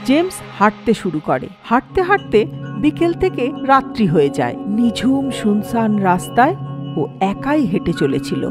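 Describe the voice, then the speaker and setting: A woman's voice: a little high, big pitch swings, moderate pace, over music. A woman narrating a scripted horror story in a dramatic storytelling manner.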